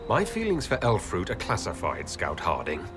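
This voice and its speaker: An actor playing a reserved, dry-witted elven hero in a fantasy game, with an understated delivery. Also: male voice, low voice, wildly swooping pitch, moderate pace, music in the background. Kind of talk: fantasy video game acting.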